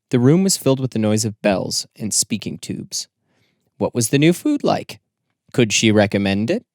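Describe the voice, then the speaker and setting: A man's voice: medium pitch, dry recording. Dry-sounding male audiobook narrator. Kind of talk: scripted literary narration.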